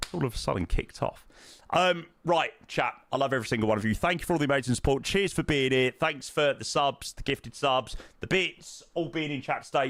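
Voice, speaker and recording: Hoarse man chatting on stream. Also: medium pitch, a bit bright. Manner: rambling, self-interrupting, frequent ums. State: hoarse, croaky voice. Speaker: flight sim streamer. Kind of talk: casual stream chat.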